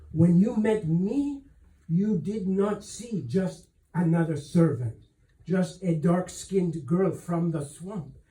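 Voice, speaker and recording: An earnest young servant woman, acted by a man reading a novel, speaks haltingly and with deference. The voice is raised and very soft in tone, with slow delivery, heard in a roomy space. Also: high voice. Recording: roomy echo, distant microphone.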